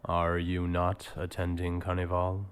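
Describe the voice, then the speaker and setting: An actor playing a wary, dry young French gentleman, a loyal and sceptical friend, in an audio drama. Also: low-pitched, monotone, unhurried, smooth. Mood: wary, dismissive. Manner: curt, dry.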